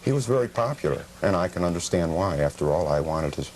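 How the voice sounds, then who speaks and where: A middle-aged man answering interview questions as a collector, in a measured, formal, matter-of-fact way. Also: low-pitched, expressive, a little mellow. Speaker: interview guest, memorabilia collector. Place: television program set. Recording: noisy old cable TV tape.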